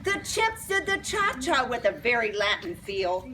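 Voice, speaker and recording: A woman's voice: a little high, sing-song, unhurried. A woman reading a storybook aloud to young children, expressively and in simple phrasing, with asides. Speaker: storybook reader. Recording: clear background noise.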